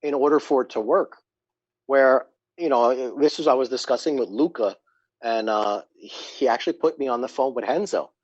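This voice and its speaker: A man guest on a casual podcast who tells long, animated stories and often jumps into quoting other people. His voice sits at a middle pitch. The sound is dry and a little muffled. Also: moderate pace, husky, no echo. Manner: animated storyteller, acts out quotes. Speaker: guest, company founder.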